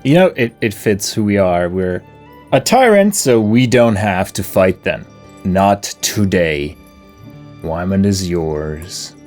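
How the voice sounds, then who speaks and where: A man's voice: deep voice, expressive, takes it slowly, a little mellow. Laid-back man narrating his gameplay. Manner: laid-back, chuckling, thinking aloud. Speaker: gameplay video host. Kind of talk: casual gameplay commentary. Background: game music.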